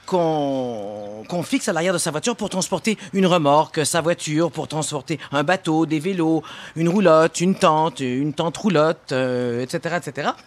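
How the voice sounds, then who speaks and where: A woman's voice: low voice, moderate pace. A woman with a Quebec French accent performs a comic radio column. She is theatrical and emphatic, telling everyday things in a mock-grand monologue style.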